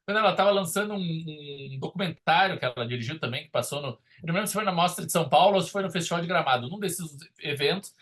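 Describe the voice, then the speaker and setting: A man's voice: a little high, lively intonation, fast, hardly any pauses, a bit bright. A man with a southern Brazilian accent in a casual, opinionated film discussion, telling stories in an emphatic, colloquial way as a podcast host.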